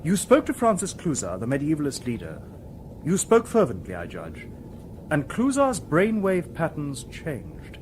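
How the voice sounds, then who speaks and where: Formal, higher-pitched robot detective. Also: male voice, wildly swooping pitch, darkish tone, slight background noise. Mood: calm, explanatory. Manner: formal, precise, measured wording. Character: polite, logical humanoid male robot detective, calm and literal. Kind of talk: radio drama acting.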